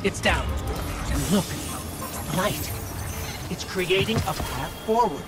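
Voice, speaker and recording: Watchful robot companion, higher-pitched. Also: male voice, expressive, takes it slowly. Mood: alert, concerned. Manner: alert, explanatory. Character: small male robot companion, watchful, helpful, earnest. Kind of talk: video game voice acting. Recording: loud game music and effects behind.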